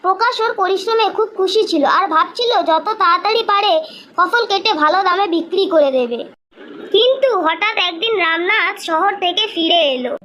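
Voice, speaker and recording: A woman's voice: extremely high pitch, expressive, normal speed, sharp tone. A woman reading scripted narration for a children's cartoon story.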